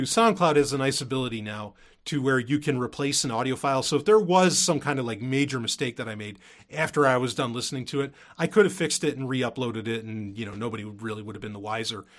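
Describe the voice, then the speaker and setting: A man's voice: medium pitch, a bit bright. A man hosting a solo podcast, talking to his listeners in an informal, rambling, emphatic way. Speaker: podcast host.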